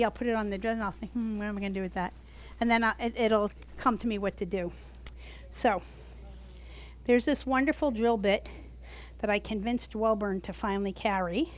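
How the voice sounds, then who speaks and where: Loud, chatty East Coast woman teaching. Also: a little high, brisk. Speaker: gourd art instructor. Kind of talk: live craft demonstration. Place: workshop room with a small audience.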